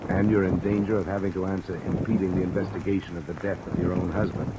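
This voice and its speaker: An actor playing a blunt, unflinching American insurance investigator, clipped and matter-of-fact, in the style of 1950s radio detective drama. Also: male voice, sits low, level tone, fast, natural voice. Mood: firm, pressing. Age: young adult. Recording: old radio recording under loud rain noise. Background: loud rain.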